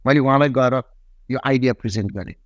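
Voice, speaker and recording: Fast-talking Nepali-accented man. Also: medium pitch, no room sound, dull audio. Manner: rapid, many fillers, switches between English and Nepali. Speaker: guest, company CEO. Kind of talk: podcast interview storytelling.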